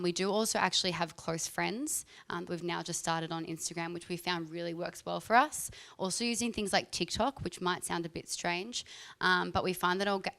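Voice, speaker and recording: A woman with a slightly low, creaky voice speaks on a panel. Her way of talking is informal and chatty, like casual shop talk. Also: a bit bright. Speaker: panelist, eCommerce brand marketer. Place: live event in front of an audience.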